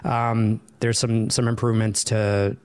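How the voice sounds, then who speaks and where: A man's voice: on the low side, little pitch movement, measured pace, darkish tone. A man from Sweden with no Swedish accent, chatting easily as a podcast guest and explaining things at length. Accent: none, sounds American rather than Swedish. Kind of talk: casual podcast chat.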